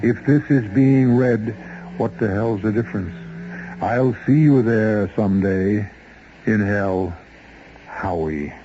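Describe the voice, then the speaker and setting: An actor playing a bitter, doomed man in a 1970s radio drama, measured and dramatic. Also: fairly low pitch. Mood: bitter, fatalistic. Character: doomed, bitter man reading out his last letter. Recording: dull, hissy old radio recording.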